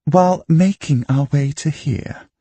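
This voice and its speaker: A man's voice: on the high side, unhurried, dark tone, breathy edge, no room sound, pitched above the performer's own voice. A man voicing a haughty upper-class woman in an audiobook, drawling and emphatic. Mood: indignant. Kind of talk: audiobook character acting.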